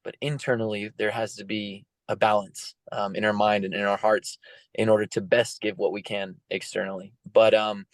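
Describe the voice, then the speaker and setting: A man's voice: fairly low pitch, little pitch movement, normal speed, no room sound. A man in his thirties from the United States, talking earnestly and reflectively as a podcast guest, with many fillers. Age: 30s. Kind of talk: casual interview talk.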